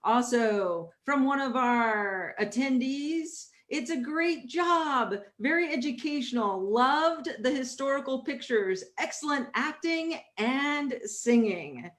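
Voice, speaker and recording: A woman's voice: high-pitched, expressive, takes it slowly, few pauses, a bit bright. A woman acting as MC of a virtual stage event, announcing performers at the curtain call.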